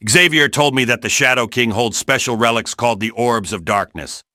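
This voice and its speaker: A man voicing a brisk, authoritative spy director giving a clipped mission briefing in a game. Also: mid-range voice, sharp tone, no room sound, clean studio sound, lightly acted. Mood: commanding.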